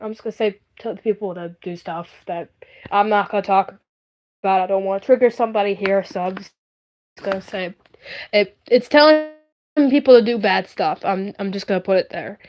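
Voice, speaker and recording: A woman's voice: mid-range voice, normal speed, darkish tone, rough recording. A young woman chatting casually on a live stream in a rambling, hesitant way, often repeating herself.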